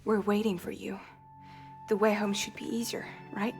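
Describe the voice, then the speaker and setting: An actress playing a weary, wounded but determined woman in a cinematic video game, speaking softly, at times nearly whispering. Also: mid-range voice, little pitch movement, normal speed, natural voice. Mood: gently determined. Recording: prominent music behind the voice. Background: music.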